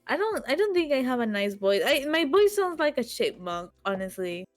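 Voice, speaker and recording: A young woman, Spanish a first language, chatting as a guest, soft and slightly halting, laughing along. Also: sits high, big pitch swings, no room sound, faint music behind. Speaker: guest streamer. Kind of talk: casual chat.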